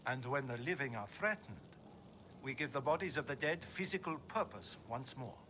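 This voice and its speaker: An actor playing a solemn necromancer teacher in a video game, speaking in a formal, grandiose and deliberate way. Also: male voice, mid-range voice, lively intonation, pushed and bright, a little hiss or hum. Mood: solemn, proud. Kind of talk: video game character dialogue.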